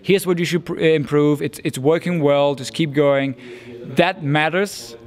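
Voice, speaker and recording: A man's voice: fairly high pitch, steady tone, measured pace. A young man with a Danish accent, an esports caster answering interview questions in an earnest, thoughtful way. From Denmark. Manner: earnest, thoughtful, with frequent restarts and fillers.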